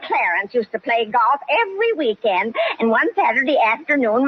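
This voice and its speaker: A woman playing a sly, droll housemaid, telling things deadpan in 1940s radio sitcom style. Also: high-pitched. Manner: deadpan, storytelling. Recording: muffled 1940s radio recording, audience. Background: studio audience.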